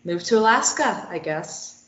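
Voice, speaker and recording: A woman's voice: on the low side, animated, unhurried, a bit bright. A woman plays a sarcastic, self-deprecating woman who is desperate to get away. She brushes people off with dry, dismissive lines in a stage play read-through. The recording is dull and has noticeable room echo. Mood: bitter, resigned. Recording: dull, roomy, echoey recording.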